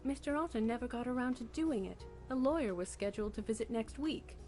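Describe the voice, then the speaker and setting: An actress playing a composed woman in a visual novel, speaking in a formal, measured and precise way. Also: fairly high pitch, expressive, a little mellow, natural voice. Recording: music bed behind the voice.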